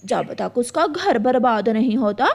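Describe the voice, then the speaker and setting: A woman's voice: fairly high pitch, lively intonation, unhurried, creaky, darkish tone, pitched below the performer's own voice, full character voice. A woman voicing a jealous, spiteful female crow wife in a cartoon story, melodramatic and indignant. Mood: jealous, bitter. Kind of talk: Hindi cartoon story acting.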